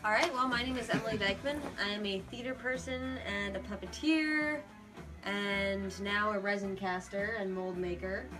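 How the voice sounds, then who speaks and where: A woman's voice: mid-range voice, normal speed. A young adult woman speaking as an interview guest, explaining her art in an earnest, reflective, casual way. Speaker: guest, puppeteer and theater performer. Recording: music playing behind the talk. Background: guitar music.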